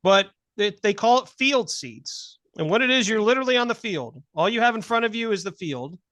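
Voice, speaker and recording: Higher-voiced middle-aged American man, dry close sound. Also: lively intonation, brisk, bright, forceful tone, dry recording. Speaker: podcast host. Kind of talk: casual conversational podcast chat.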